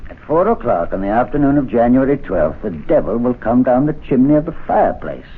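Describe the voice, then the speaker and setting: An actor playing a sardonic, dismissive gentleman club member in a radio drama. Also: mid-range voice, big pitch swings, brisk, breathy edge. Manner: dry, scoffing, upper-class. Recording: tinny old radio broadcast with faint hiss.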